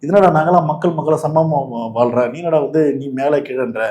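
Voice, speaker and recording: A man's voice: fairly high pitch, moderate pace, a little mellow. A man with a Tamil Nadu accent giving casual, opinionated political commentary as a host, explaining in an argumentative way.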